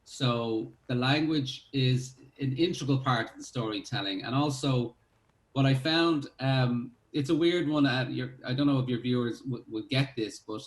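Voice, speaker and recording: A man's voice: medium pitch, normal speed, reverberant, poor audio. An Irish man, a filmmaker, answering interview questions in a reflective way with many fillers and restarts. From Ireland. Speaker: filmmaker who cast the film.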